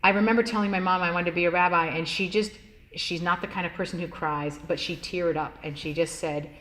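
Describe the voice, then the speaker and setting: A woman rabbi telling her life story in a relaxed, conversational way, with many "like" fillers and easy laughs. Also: lowish voice, a little fast, low-quality recording. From United States, Washington State. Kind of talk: personal storytelling talk.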